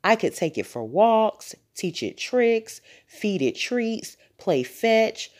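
A woman's voice: medium pitch, sing-song, slow, darkish tone, voice pushed up. A woman voicing an eager, scheming little girl who coaxes persuasively in a children's storybook. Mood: eager, coaxing. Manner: coaxing, listing persuasively.

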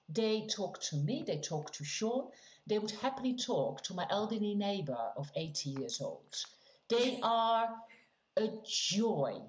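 A woman from the Netherlands, a podcast guest chatting casually and emphatically in short bursts. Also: mid-range voice. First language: Dutch. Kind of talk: casual chat. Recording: echoey, muffled room sound.

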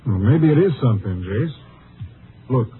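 A man's voice: medium pitch, expressive, moderate pace, lightly acted. Man acting a skeptical Ranger captain, American, very varied intonation, authoritative, vintage radio drama, noisy narrow-band recording. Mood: measured, considering. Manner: questioning, weighing, authoritative. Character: skeptical, measured male Texas Ranger captain.

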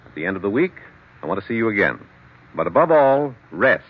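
A male actor playing a firm, reassuring doctor in an old-time radio drama, giving measured, emphatic orders. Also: lowish voice, sing-song, breathy edge. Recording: tinny, muffled old radio broadcast.

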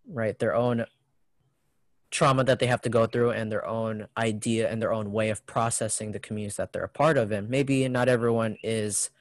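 A man's voice: fairly low pitch, steady tone, normal speed, dry recording. A man talking in an earnest, reflective way, often ending his thoughts with a questioning "right?". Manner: earnest, ends phrases with "right?". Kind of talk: reflective conversational talk.

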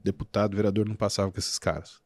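A man with a Brazilian Portuguese accent, a physician talking on a podcast in an animated, emphatic storytelling way. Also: lowish voice, fast, no room sound. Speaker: physician guest. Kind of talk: casual podcast interview.

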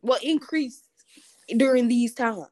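A playful woman co-hosting a casual podcast. Her voice sits a little high, and she laughs often through slangy, easygoing talk. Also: slow, darkish tone. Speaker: podcast co-host. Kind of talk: casual chat.

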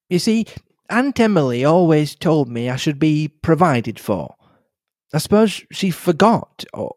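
A man's voice: fairly high pitch, a little mellow, dry recording, studio quality. A man voicing a shy, earnest young woman in a storybook reading, with a girlish, hesitant delivery. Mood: worried.

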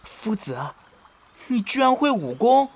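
Male actor as young fox spirit, unhurried, playful, shy, whiny-cute, lively swooping intonation, period audio drama. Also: very high-pitched, slight background noise, full character voice. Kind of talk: ancient-China romance audio drama acting.

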